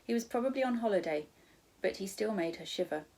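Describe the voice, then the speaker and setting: A woman reading a children's storybook aloud as a teacher, in expressive narration with the odd corrected stumble. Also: mid-range voice, brisk, low-quality recording.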